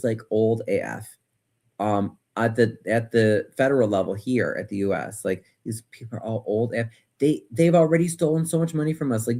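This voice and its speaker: Animated, rambling American man livestreaming. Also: mid-range voice. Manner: animated, opinionated, rambling, punctuated by emphatic repetition. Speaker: livestream host. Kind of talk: casual livestream chat and political commentary, reading viewer comments.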